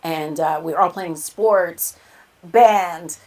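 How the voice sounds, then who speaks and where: A woman's voice: on the low side, slow pace, a little mellow. A woman from Winnipeg co-hosting a live podcast, chatty and laughing easily as she recalls her youth. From Canada, Winnipeg. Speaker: podcast co-host. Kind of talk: casual chat at live podcast. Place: live podcast recording venue.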